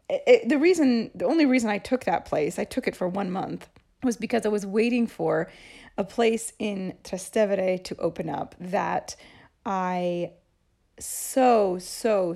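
A woman's voice: mid-range voice, a little mellow. A woman podcast host telling her own stories in a casual, chatty way, often laughing as she talks. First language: English. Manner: chatty, laughing through anecdotes. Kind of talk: casual storytelling monologue.

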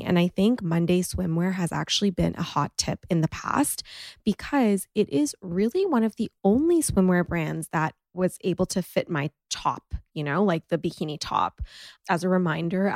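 Stuffy, gravelly-voiced woman podcast host. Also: on the low side, darkish tone, dry recording. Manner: chatty, gushing, many fillers like "like" and "um". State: stuffy, recovering from a cough. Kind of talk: casual solo podcast intro.

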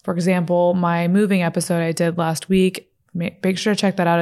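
A woman's voice: on the low side, darkish tone. A woman in her late twenties hosting her own podcast, chatting candidly and casually with lots of fillers and the odd swear word. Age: 20s. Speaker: podcast host. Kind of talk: casual solo podcast chat. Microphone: studio mic, seated.

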